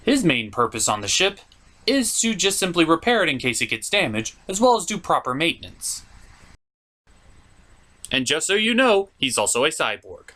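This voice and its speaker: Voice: medium pitch, sing-song, normal speed, sharp tone. A host doing a scripted comedic video review in an opinionated, sarcastic, mock-exasperated way.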